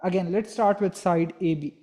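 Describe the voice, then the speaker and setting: A man's voice: high-pitched, normal speed, a little mellow. A young man giving a physics lesson, explaining step by step and often checking in with a "right?". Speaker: physics teacher.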